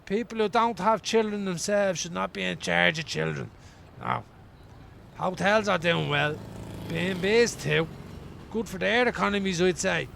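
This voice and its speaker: An actor in a radio play playing a weary, wry homeless man from Dublin, rambling colloquially in a gravelly voice. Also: sits high, a little slow, a bit bright, a little hiss or hum, heavily acted. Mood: opinionated, weary. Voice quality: gravelly, creaky. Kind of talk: radio play acting as an interviewee.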